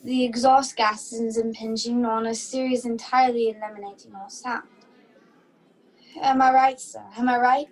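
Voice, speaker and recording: A young-sounding actress as a music-hall memory act in a radio play, high in pitch. The delivery is formal and dutiful, reciting facts with pride as the woman acts it out. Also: level tone, moderate pace, lightly acted. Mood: weary, earnest. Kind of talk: stage-style radio play acting.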